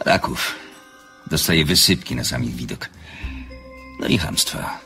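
An actor playing a courteous, amused divorced businessman in an audio drama, flirting gently in a very deep, gravelly voice. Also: measured pace, faint music behind, heavily acted. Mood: teasing, calm. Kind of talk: Polish audio drama dialogue.

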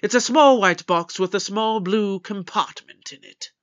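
Brisk, authoritative space detective in an audio drama. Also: mid-range voice, a bit bright, highs cut off, natural voice. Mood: brisk, probing.

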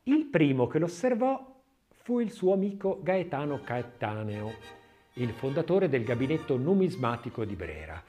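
Animated male literary narrator, music behind. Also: medium pitch, normal speed. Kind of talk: expressive scripted literary reading.

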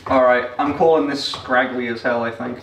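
A man's voice: medium pitch, steady tone, normal speed, a bit bright. A British man streaming casually from his home kitchen while cooking, joking and swearing freely. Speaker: streamer host. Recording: echoey room with background noise.

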